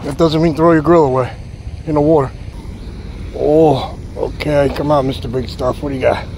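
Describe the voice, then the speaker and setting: A man's voice: on the high side, fairly even intonation, moderate pace. A man, chatting to viewers and exclaiming at each find as he magnet fishes at a city pond, recorded on a chest-mounted action camera. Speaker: magnet fishing vlogger. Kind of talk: casual running commentary while magnet fishing. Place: outdoors at a city pond edge. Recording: outdoor camera with loud wind and water noise.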